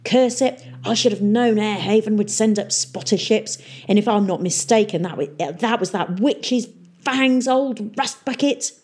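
A woman's voice: medium pitch, measured pace, a little mellow, pitched above the performer's own voice. Woman putting on a snarling pirate mayor. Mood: irritated. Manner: snarling, hissing, gruff. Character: coarse swaggering male pirate mayor.

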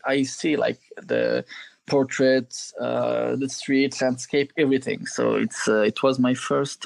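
A man's voice: mid-range voice, gravelly, darkish tone, no echo, poor audio. A man in his twenties from Algeria, a photographer guest chatting casually, hesitant with many fillers and restarts. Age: 20s. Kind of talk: casual interview chat.

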